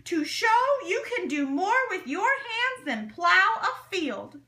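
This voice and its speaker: A woman's voice: very high voice, big pitch swings, takes it slowly, bright, forceful tone, roomy sound, pitched above the performer's own voice, heavily acted. A woman voicing an earnest farm man who rallies his club in an emphatic, oratorical storybook voice. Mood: rousing. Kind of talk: storybook character voice.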